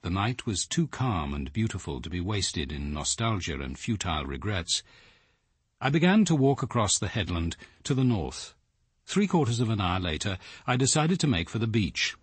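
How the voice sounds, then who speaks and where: An actor playing a grave, reflective detective, narrating in a measured, literary way in a radio drama. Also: male voice, fairly low pitch, sing-song, slightly rough, no echo. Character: grave, reflective male police detective recounting his evening.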